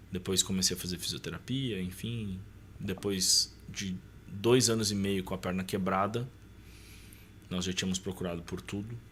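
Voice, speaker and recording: A man's voice: low voice, a bit bright, slight background noise. A man with a southern Brazilian accent casually telling a personal story as a podcast guest, acting out dialogue as he goes.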